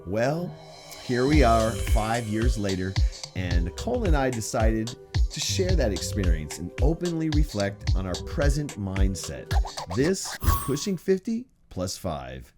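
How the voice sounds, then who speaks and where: A man's voice: on the low side, big pitch swings, a little slow, music in the background. A man in his early fifties sharing personal reflections as the creator and narrator of a video. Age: early 50s. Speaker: video creator and participant, sociology teacher.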